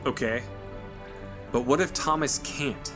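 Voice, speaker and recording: A man's voice: mid-range voice, slow pace, fairly bright tone, faint music behind. A man voicing a seasoned ranger leader, plain-spoken and direct. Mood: steady.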